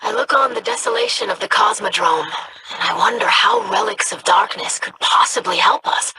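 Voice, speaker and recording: A woman's voice: on the high side, sing-song, moderate pace, vocal fry, background noise. A woman voicing a scholarly, idealistic young alien scribe in a video game, speaking formally and precisely. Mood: earnest, disapproving. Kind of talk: video game character dialogue.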